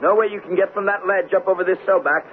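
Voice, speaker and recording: An actor playing a gallant young man in a radio drama, excited and wisecracking. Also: high-pitched, fast, lightly acted. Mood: urgent. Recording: phone-like old radio, faint noise.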